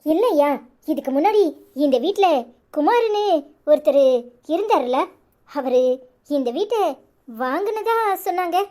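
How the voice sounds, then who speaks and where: A woman's voice: very high voice, expressive, unhurried, long pauses, mellow. A woman voicing a concerned, sensible young village woman, sympathetic and advising in a cartoon drama. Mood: polite.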